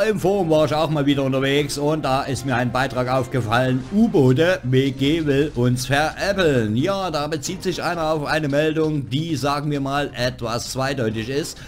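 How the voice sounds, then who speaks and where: A man hosting a gaming news show, reading a scripted voice-over with wry joking asides. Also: mid-range voice. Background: background music.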